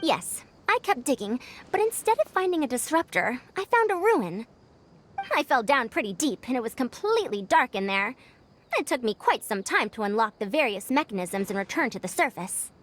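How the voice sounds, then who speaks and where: A woman's voice: a little high, very animated, normal speed, fairly bright tone. A woman voicing a proud, young-sounding scholar who talks like an elder, with formal, old-fashioned diction, in an anime-style video game. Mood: proud, inquisitive.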